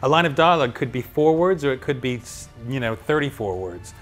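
A man's voice: mid-range voice, expressive, brisk, a bit bright, soft background music. A middle-aged male voice actor talking casually backstage in an interview, friendly and self-deprecating, often restarting his sentences. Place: backstage room with wooden walls at an awards event.

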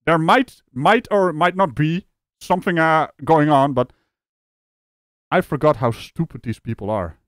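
A man from the Netherlands hosting a live stream, reacting to a clip with animated, mocking commentary and frequent laughs. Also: fairly high pitch, a little slow, no room sound, clean studio sound. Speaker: streamer host.

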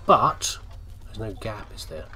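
A man's voice: on the low side, very animated, a little slow, bright, forceful tone, slight background noise. A man giving casual gameplay commentary, rambling and thinking aloud as he talks to himself. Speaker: gamer commentating on playthrough.

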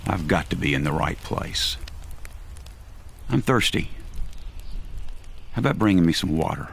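A man's voice: sits low, animated, unhurried, gravelly, a bit bright, husky, full character voice. A man playing an arrogant, exhausted army general on the run in an amateur audio drama, commanding and self-satisfied. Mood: smug.

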